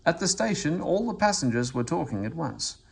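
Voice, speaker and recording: A man reading a children's storybook aloud as an expressive narrator. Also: fairly high pitch, brisk, creaky, breathy edge. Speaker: story reader.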